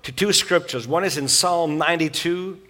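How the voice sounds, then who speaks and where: A man's voice: a little high, normal speed, bright, forceful tone. A middle-aged man from Switzerland, preaching a sermon in a folksy, joking way with many filler sounds. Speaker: guest preacher.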